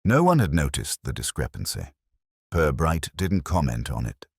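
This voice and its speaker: A man's voice: rumbling low, huge pitch swings, normal speed, creaky, breathy edge, no room sound, studio quality. A man reading scripted narration of a comic village mystery in a wry, literary storytelling manner.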